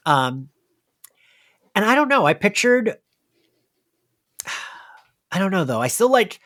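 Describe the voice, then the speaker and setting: Expressive man hosting a casual podcast. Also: high-pitched, takes it slowly, no echo, studio quality. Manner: informal, swears casually. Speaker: podcast host. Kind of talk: casual pop-culture podcast chat.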